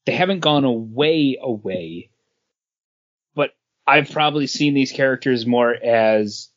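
Male comics podcast co-host, unhurried, halting delivery, dull lo-fi audio. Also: medium pitch. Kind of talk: casual chat reviewing comics. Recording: dull lo-fi sound.

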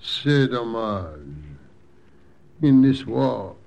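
An older French hotel clerk with a French accent, speaking very slowly and haltingly, apologetic and gentle. It plays like an old radio drama scene. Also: male voice, medium pitch, lively intonation, creaky, heavily acted.

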